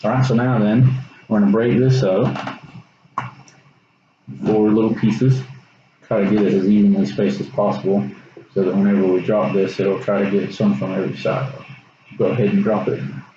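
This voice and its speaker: Low-voiced young Texan man, roomy sound. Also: little pitch movement, moderate pace, pauses often, warm tone. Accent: Texas. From Texas. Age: young adult. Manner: casual, hesitant explaining. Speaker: student presenter. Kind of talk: informal science demonstration. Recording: roomy echo, dull sound, faint noise.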